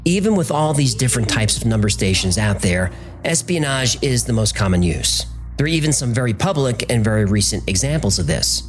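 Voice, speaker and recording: Man narrating with lively pitch over music. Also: brisk. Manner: conversational, explanatory, poses rhetorical questions. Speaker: host. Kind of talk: scripted documentary narration.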